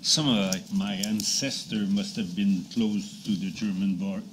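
A man's voice: on the low side, fairly even intonation, unhurried. A native French-speaking man with a French Canadian accent, hesitant and good-humoured, speaking at a conference debate. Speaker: debate participant. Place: conference meeting room. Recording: noisy conference room.

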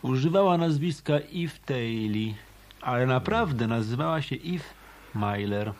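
A hard-boiled private eye from a radio play, acted by a man, with a middle-pitched voice. He talks dryly and deliberately, probing like a shrewd former hotel detective. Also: expressive, lightly acted. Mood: accusing. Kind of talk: Polish radio drama dialogue.

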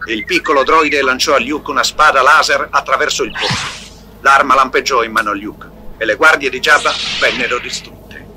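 A man's voice: mid-range voice, normal speed, pushed and bright, a little hiss or hum. A man reading scripted narration for an audio storybook. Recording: 1980s storybook record with music. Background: music.

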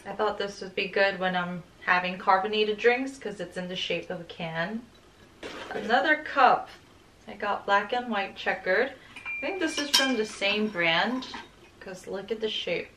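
A young woman chats to the camera in her bright apartment, upbeat and easygoing, with "like" sprinkled through her sentences. Her voice sits at a middle pitch, and a steady background noise is audible. She sounds relaxed and talkative. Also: a little slow, poor audio. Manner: chatty, upbeat, says "like" often. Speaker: lifestyle vlogger. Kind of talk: casual vlog narration. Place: bright home room and kitchen, indoors.

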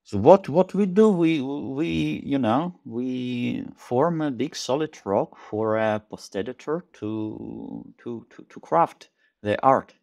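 A man in his forties, a tech company chief, talking over a video call in a thoughtful, halting way, often repeating words as he searches for them. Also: medium pitch, gravelly, darkish tone. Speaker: tech company CEO guest. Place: small home room indoors. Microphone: headset over video call.

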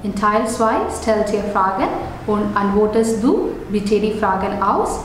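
A young woman with a Malayalam accent runs a practice speaking test in German, walking her students through each part with careful, repeated "okay"s. Her voice rings around a bare, echoey classroom, picked up by a camera across the desk, with a faint hum underneath. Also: mid-range voice. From Kerala, India. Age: 20s. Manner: slow, careful instructions with frequent "okay". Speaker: German teacher as mock examiner. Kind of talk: conducting a mock speaking exam.

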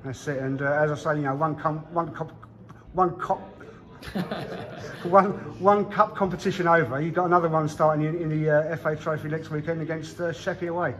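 A man asking casual, halting post-match questions as a sports interviewer. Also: a little high, steady tone, fairly bright tone.

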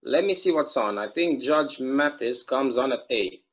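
A folksy, easygoing small-town bartender, read by a man over a video call. He rambles through stories in a middle-pitched voice. The sound is dull and lo-fi. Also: lively intonation, moderate pace, fairly bright tone. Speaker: actor in a table read.

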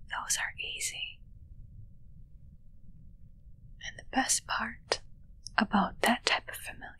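A young woman playing a calm, controlling witch in an ASMR roleplay, speaking in a slow, breathy, menacing whisper close to the mic. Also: mid-range voice, heavily acted, rough recording. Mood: possessive, quiet. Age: young adult. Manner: slow, hushed, soothing yet menacing. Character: sinister, calm, controlling witch who raises corpse familiars. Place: small dark curtained room indoors. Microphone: close mic, whispering near camera.

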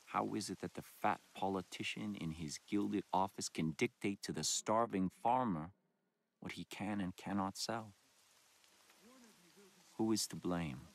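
A man playing a solemn, messianic doomsday cult leader in a video game, preaching in grave, deliberate, rhetorical monologues. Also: low voice, creaky, exaggerated voice. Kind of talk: dramatic sermon and broadcast monologue.

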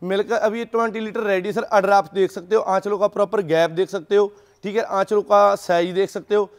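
Guy w a pretty high voice pitching dairy cows, says sir all the time, informal sales talk. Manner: repeatedly addresses the listener as sir. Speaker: dairy cattle seller. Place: dairy farm.

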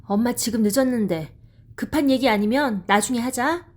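A woman playing a brisk, pushy mother. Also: on the high side, a little mellow, close to an everyday voice. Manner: brisk, nagging, scolding. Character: busy, pushy working mother in her forties. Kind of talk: audio drama acting.

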